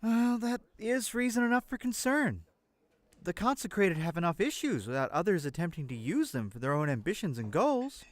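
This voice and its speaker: A woman's voice: on the low side, sing-song, moderate pace, no echo. A woman playing an earnest, concerned traveller in a fantasy audio drama, formal and sympathetic.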